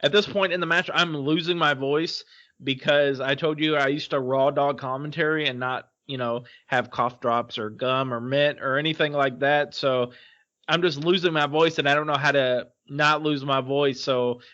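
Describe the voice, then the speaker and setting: A man with a somewhat high voice talks loosely through a recap, filling gaps with "you know" and "uh". The podcast audio sounds a little dull and muffled. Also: fairly even intonation, moderate pace, pushed and bright. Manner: loose, rambling, many fillers. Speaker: podcast co-host. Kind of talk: casual podcast chat recapping a wrestling show.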